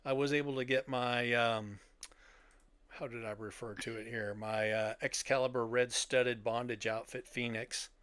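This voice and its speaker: A man's voice: mid-range voice, steady tone, normal speed, a bit bright, smooth, no room sound. A middle-aged man chatting casually as a podcast host about collecting.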